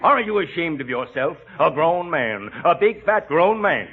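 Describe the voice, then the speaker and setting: Stern school principal, tinny old radio. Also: male voice, a little high, big pitch swings, normal speed. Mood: scolding. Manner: formal, scolding, pointed. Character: stern, exasperated school principal, a grown man. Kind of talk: 1940s radio sitcom acting. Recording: tinny, band-limited 1940s radio recording.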